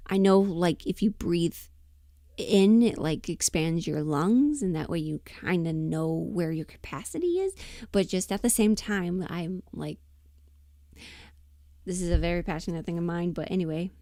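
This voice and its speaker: Woman, lowish voice, dry close-mic sound, animated, opinionated, casual livestream rambling. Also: measured pace, no echo. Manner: animated, opinionated, swears, emphatic. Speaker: livestream host and voice performer.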